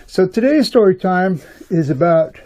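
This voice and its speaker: A middle-aged American man with a gravelly voice, telling personal stories in a casual, folksy, halting way as a channel host. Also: sits high, animated, warm tone. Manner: folksy, informal, halting delivery with frequent "you know". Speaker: YouTube storyteller and channel host. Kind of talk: casual rambling personal storytelling.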